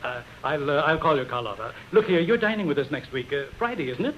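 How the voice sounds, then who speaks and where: An actor playing a polite, anxious middle-aged gentleman magnate in hesitant 1930s radio style. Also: a little high, lively intonation, quick pace. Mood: hesitant, uneasy. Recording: dull, noisy 1930s radio broadcast.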